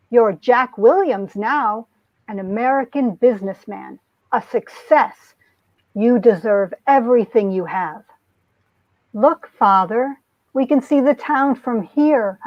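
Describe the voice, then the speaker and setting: A woman's voice: on the high side, dry recording. Woman reading as earnest young romance heroine, dull audio. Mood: bright, affectionate.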